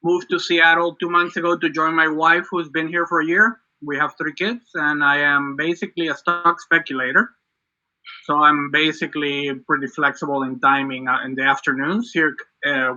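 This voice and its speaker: A man in his fifties from Venezuela introduces himself on a video call in a relaxed, rambling way. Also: a little high. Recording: dull lo-fi call audio.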